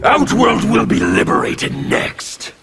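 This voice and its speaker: An actor playing an angry, fanatical anarchist revolutionary in a video game, with a gravelly, menacing voice and grandiose delivery. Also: male voice, extremely high pitch, huge pitch swings, slow, music in the background, heavily acted. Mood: grim, threatening. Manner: theatrical, grandiose declarations. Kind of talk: video game character dialogue.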